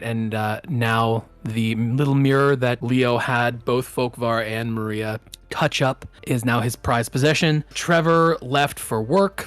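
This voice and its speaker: A man acting as game master, casually and haltingly recapping a tabletop game session. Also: mid-range voice, dry recording.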